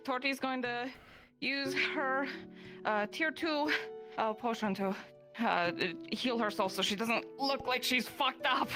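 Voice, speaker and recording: Bright, high-voiced woman playing a roleplay game. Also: slow, faint music behind. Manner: hesitant, muttering to herself, sweary. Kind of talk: casual improvised roleplay chat.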